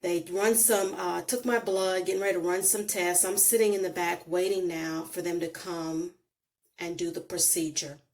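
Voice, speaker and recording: A middle-aged woman from the Bahamas with a Bahamian accent, telling her life story to camera slowly and reflectively, recorded on a phone on a holder. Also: lowish voice, steady tone, darkish tone. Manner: slow, deliberate, reflective delivery with emphatic pauses.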